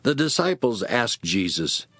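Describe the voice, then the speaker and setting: A man's voice: mid-range voice, very animated, a little slow, fairly bright tone. A man giving scripted narration of scripture as the narrator of an audio drama. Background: music.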